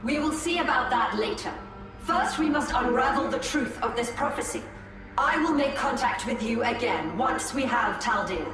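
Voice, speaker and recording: A solemn alien seer, voiced by a woman, speaking in a slightly high, deliberate voice. It rings through a long, hall-like reverb, with game music swelling behind. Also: animated, lightly acted. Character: resolute Eldar seer and leader, female adult.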